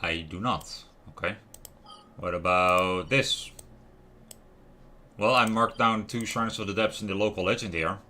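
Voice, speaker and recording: Low, bright-voiced man musing over a game. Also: unhurried, pauses often, slight background noise. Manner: musing aloud, trailing off and questioning himself. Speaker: livestream host playing a video game. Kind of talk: casual gaming livestream commentary. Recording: music playing behind the voice. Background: lo-fi music, keyboard typing and clicks.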